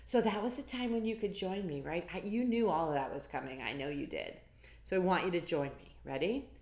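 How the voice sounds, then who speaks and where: Animated woman reading aloud in a roomy space. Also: lowish voice. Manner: encouraging, interactive, drawn-out sing-song phrasing. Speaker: storyteller reading a picture book to children. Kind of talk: animated read-aloud with asides to young listeners.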